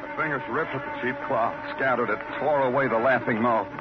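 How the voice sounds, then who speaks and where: An actor playing a world-weary, brooding police detective in an old noir radio drama, with a terse, hardboiled manner. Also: male voice, medium pitch, a little fast, lightly acted. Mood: brooding, poetic. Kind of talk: 1950s radio noir acting and narration. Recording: muffled old radio, loud music.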